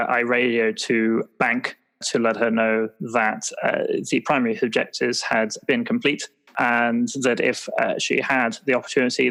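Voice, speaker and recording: A man's voice: medium pitch, vocal fry, fairly bright tone, poor audio. A man playing a dutiful field agent, giving a hesitant, formal report in an improvised audio roleplay.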